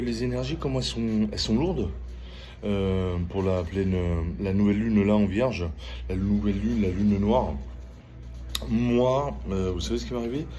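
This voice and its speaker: A middle-aged man chatting casually as he gives a tarot reading, halting and repeating phrases, often checking in with the listener. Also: fairly low pitch, dark tone. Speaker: tarot reader. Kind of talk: casual spoken tarot reading with personal chat. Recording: clearly noisy ambient background.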